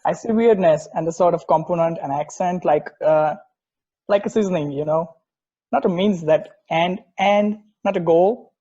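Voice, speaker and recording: An earnest, opinionated sports purist played by a man talks in a slightly high voice. The sound is dull and lo-fi. The delivery is a conversational script reading, emphatic, with the odd stumble. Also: moderate pace, mellow. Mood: earnest, insistent. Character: opinionated purist football fan, earnest and particular. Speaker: amateur actor in a remote table read.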